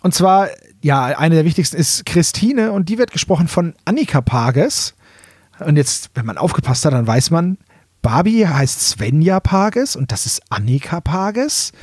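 A man's voice: fairly high pitch, expressive, unhurried, a little mellow, husky, no echo, clean studio sound. A man co-hosting a podcast in casual, chatty conversation about radio plays. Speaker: podcast co-host. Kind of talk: casual chat about a radio play series.

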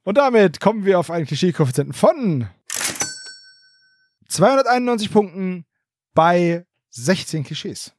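A man's voice: high-pitched, big pitch swings, moderate pace, long pauses, no echo. A man co-hosting a podcast in casual chat, talking loosely with lots of fillers. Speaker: podcast co-host. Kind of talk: casual chat reviewing an audio drama.

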